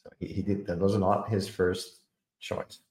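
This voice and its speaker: A man with a low, deep voice chats casually about tech, stumbling over his words and filling gaps with ums. His voice rings around a roomy, echoey space. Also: little pitch movement, measured pace, a little mellow. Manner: hesitant, many fillers and repeated words. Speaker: podcast host. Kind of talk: casual technical discussion. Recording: echoey room.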